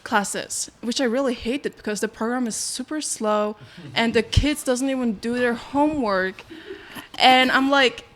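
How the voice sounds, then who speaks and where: A woman's voice: fairly high pitch, unhurried, a bit bright. A young woman from Mongolia, chatting casually on a podcast with lots of fillers. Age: young adult. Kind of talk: casual chat.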